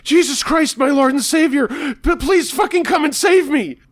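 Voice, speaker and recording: A man's voice: very high voice, normal speed, no room sound, pitched above the performer's own voice. A man voicing a panicked, bleeding man who screams out prayers in a frantic, wailing voice. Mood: frantic screaming.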